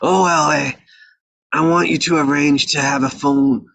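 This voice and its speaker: A man playing a weary, forgetful old mafia patriarch in a script read, speaking in a rambling, halting way. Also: fairly high pitch, fairly even intonation, vocal fry, fairly bright tone. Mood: weary, regretful. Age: older. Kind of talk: live table read of a crime film script.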